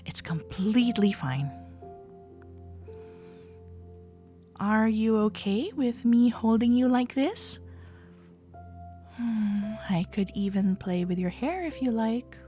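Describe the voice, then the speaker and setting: Casting: actress as tender, teasing female cuddle companion for comfort audio roleplay. Leisurely delivery, soft and breathy, attentive, light music underneath. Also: medium pitch. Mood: soothing, teasing. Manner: tender, soft-spoken, often dropping into a breathy whisper. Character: affectionate, gently teasing cuddle club woman, soothing and attentive. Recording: soft cafe jazz music behind. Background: soft background music.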